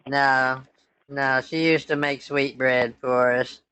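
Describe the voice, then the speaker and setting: A man's voice: mid-range voice, little pitch movement, slow, noisy background. A man in his twenties, a scambaiter posing as a son, chatting casually in a hesitant, rambling way. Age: 20s. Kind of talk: casual phone chat.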